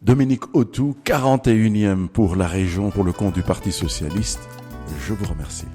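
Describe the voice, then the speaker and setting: A man's voice: lowish voice, moderate pace, mellow, long reverb tail, soft background music. A man hosting a formal radio interview, announcing the guest's name and rank before each question.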